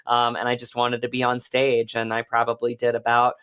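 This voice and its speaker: A man's voice: mid-range voice, monotone, fairly quick, a bit bright, smooth. An American man from Virginia chatting casually as an interview guest, often tagging phrases with "right" and "you know". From Virginia, United States. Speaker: guest, actor.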